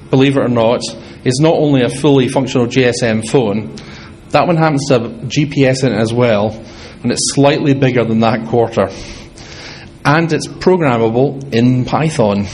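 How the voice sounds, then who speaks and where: Scottish man, conference speaker, quick halting delivery, mid-pitched voice, faint background hiss. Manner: fast, halting, with many restarts and fillers. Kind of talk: technical conference talk. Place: large hall with an audience.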